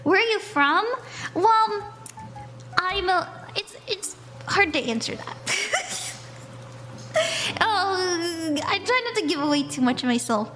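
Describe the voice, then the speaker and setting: Young woman, high voice, bubbly, chatty, laughs often, palm reading streamer. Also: expressive, slow pace, pauses often, pushed and bright, slight background noise. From southern United States.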